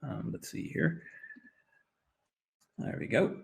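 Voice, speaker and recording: A young man from Denmark giving a friendly webinar presentation as a guest speaker, with many hesitations, over a video call. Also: slow pace. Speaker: guest speaker, social enterprise executive.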